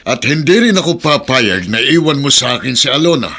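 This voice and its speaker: A man's voice: mid-range voice, lively intonation, a little fast, pushed and bright. A man acting a stern, reproachful old grandfather, firm and scolding, in a radio drama.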